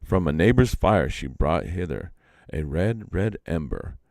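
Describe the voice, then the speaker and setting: A gentle young fawn in a storybook , read by a man. It explains things calmly and obligingly in a low voice with some creak, recorded dry. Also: unhurried, no room sound, heavily acted. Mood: calm, explaining.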